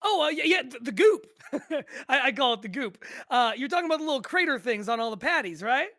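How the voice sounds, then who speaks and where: A woman's voice: high-pitched, very animated, fairly quick, fairly bright tone. A woman playing a friendly, easily amused drive-thru worker, chatting casually in an audio drama table read.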